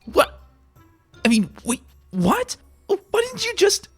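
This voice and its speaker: A man performs a flustered young stallion medic for a storybook reading. He is nervous and apologetic, blurting and stammering in a raised pitch that leaps about, with music behind him. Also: wildly swooping pitch, slow, no echo, exaggerated voice. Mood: shocked.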